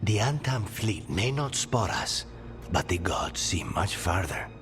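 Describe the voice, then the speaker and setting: An actor playing a guarded, dryly sardonic assassin in a video game, speaking in a deliberate, understated way. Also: male voice, low voice, very animated, fairly bright tone, soft background music, full character voice. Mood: dry, measured. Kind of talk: cinematic video game voice acting.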